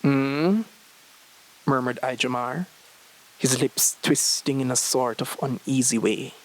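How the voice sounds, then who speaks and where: A man's voice: mid-range voice, little pitch movement, measured pace, poor audio. A young man reading a scripted short story aloud as its narrator. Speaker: story reader.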